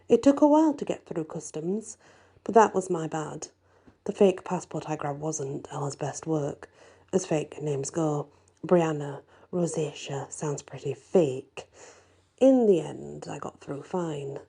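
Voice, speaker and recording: A woman reads a first-person fantasy story in an ASMR style, her voice soft, husky and breathy. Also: sits low, unhurried. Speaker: audiobook-style story reader.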